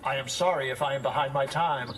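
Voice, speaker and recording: A man's voice: mid-range voice, fast, a bit bright, natural voice, rough recording. A man playing a vain, dreamy village schoolmaster in an audio drama, speaking in a pompous, flowery, effusive way. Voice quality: theatrical, florid delivery.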